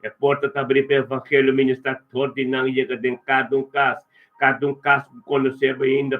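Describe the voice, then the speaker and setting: Phone-like recording, man praying. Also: mid-range voice. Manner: repetitive, invocatory, punctuated by refrains. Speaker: prayer leader. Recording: thin narrow phone-like sound.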